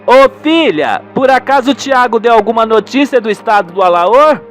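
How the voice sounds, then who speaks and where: A man's voice: very high voice, expressive, moderate pace, fairly bright tone, faint music behind, pitched above the performer's own voice. A man voicing an older rural wife and mother, bossy and fretful, with emphatic colloquial speech. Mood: concerned.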